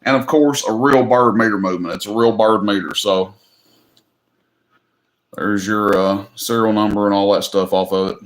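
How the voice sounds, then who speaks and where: A man's voice: on the low side, fairly even intonation, moderate pace. A man hosting a casual live-stream auction from home, talking in an easygoing, rambling way that often repeats itself. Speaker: livestream auction host. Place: indoors at home.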